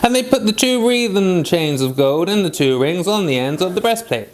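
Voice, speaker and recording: A man reading scripture aloud as an amateur Bible reader, slightly halting in his delivery. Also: high-pitched, lively intonation, low-quality recording.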